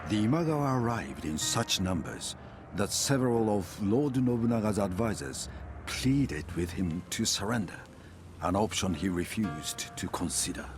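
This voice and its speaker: Deep, solemn, expressive samurai chronicler. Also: male voice, normal speed. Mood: solemn storytelling. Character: solemn samurai chronicler and resolute clan brother. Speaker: narrator. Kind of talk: dramatic scripted historical narration for game cutscenes. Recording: music behind the voice. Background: cinematic music.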